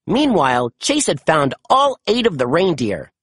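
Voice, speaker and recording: An expressive narrator reads a children's storybook aloud. The voice is medium in pitch and swings widely for storytelling. It has a husky edge and a dry, close sound. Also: measured pace, no echo.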